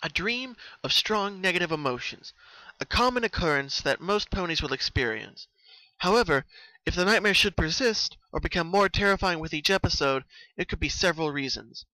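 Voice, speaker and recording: Guy doing a bookish girl unicorn, raised pitch, earnest and caring, explains stuff carefully, dry close recording. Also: lively intonation, moderate pace, pushed and bright, no room sound, rough recording. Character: studious, caring female unicorn pony, earnest friend.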